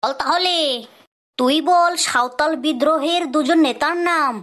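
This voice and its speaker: A woman's voice: high voice, normal speed. A woman voicing an exasperated, scolding male schoolteacher in a comic cartoon dub. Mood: stern. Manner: loud, scolding, exclaiming questions.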